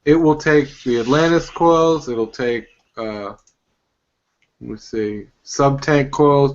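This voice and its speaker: A male co-host rambles on in a chatty way, filling gaps with "uh" and "like" as he recalls details. His mid-pitched voice comes over a dull, lo-fi video call. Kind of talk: casual chat on a live show.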